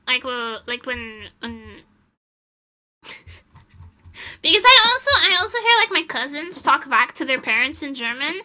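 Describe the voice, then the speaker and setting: Young woman streamer from portugal, slow easygoing chatter, bright tone, laughs a lot. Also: sits high, very animated. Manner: chatty, laughs often, answers chat. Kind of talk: casual live-stream chat.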